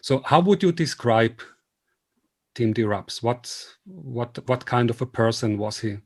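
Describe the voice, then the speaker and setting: Swiss man, earnest and halting interviewer. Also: mid-range voice, lively intonation, darkish tone. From Switzerland. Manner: earnest, polite, halting speech. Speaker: interviewer and video series host. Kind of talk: informal remote interview.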